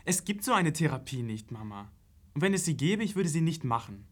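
A young man playing a calm, unfazed teenage son in a radio play, speaking in a laconic, matter-of-fact way. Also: on the high side, a little fast. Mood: calm, firm.

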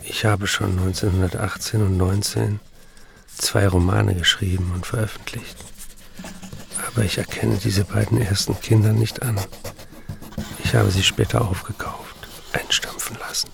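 An actor playing a tormented, addicted male writer in a radio play, delivering brooding, measured literary confessions. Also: lowish voice, flat delivery, gravelly. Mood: bitter.

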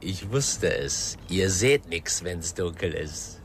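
A menacing, taunting thug in a radio thriller. His lowish voice swings widely in pitch as he threatens, over faint background noise. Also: animated, slow, exaggerated voice. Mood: mocking menace. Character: menacing, taunting racist male thug in the dark. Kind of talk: German radio crime drama acting.

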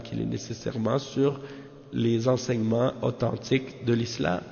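Male religious teacher, creaky mid-pitched voice, didactic and repetitive delivery, heavy hall reverb. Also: dark tone. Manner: didactic, repeats phrases for emphasis, rhetorical check-ins. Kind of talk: extemporaneous religious lesson explaining a commentary. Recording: muffled, long hall-like reverb.

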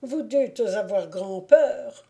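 A woman voicing an excitable, gullible male bailiff, a fussy and doting husband who exclaims, in a storybook reading. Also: fairly high pitch, takes it slowly, gravelly, full character voice. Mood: alarmed.

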